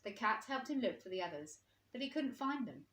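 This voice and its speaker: A woman's voice: a little high, very animated, fairly quick, fairly bright tone. A woman reading a storybook aloud to young children like a teacher, expressively and with questions for her listeners. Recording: roomy, echoing indoor sound.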